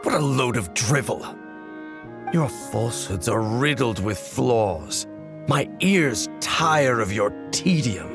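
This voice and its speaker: An actor playing a stern, solemn warrior in a video game, speaking formally and severely with a gravelly voice. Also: male voice, medium pitch, expressive, takes it slowly. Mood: cold disdain. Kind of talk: dramatic video game voice acting. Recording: game music behind the voice.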